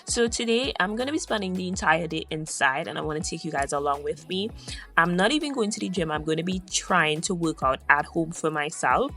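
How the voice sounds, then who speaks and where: Chatty Trinidadian woman, low voice. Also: expressive, a little fast, pushed and bright, soft background music. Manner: chatty, digressive and upbeat. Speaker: vlogger. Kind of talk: casual voice-over narration of a vlog.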